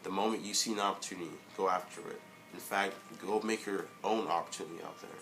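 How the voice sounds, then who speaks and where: A young man giving a casual motivational vlog monologue, halting, with many fillers and restarts. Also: low voice, fairly even intonation, creaky, fairly bright tone, soft background music. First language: English. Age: young adult. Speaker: vlogger.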